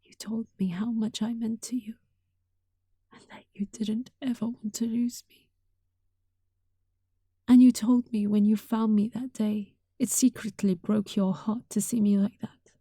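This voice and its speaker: A woman's voice: fairly high pitch, little pitch movement, measured pace, dry recording. A woman playing a sweet, affectionate young catgirl roommate in an intimate roleplay, speaking tenderly and breathily, often close to a whisper. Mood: earnest, wistful. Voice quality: soft, breathy. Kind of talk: intimate roleplay audio, softly acted monologue.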